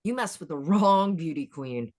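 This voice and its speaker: A woman's voice: low voice, lively intonation, normal speed, darkish tone. A woman playing a tough, taunting beauty queen fighting a killer in a screenplay table read. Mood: defiant, taunting.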